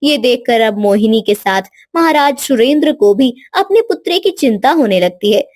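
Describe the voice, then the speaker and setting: A woman's voice: a little high, expressive, normal speed, darkish tone, no room sound, clean studio sound. A woman storyteller reading scripted narration for a children's moral story.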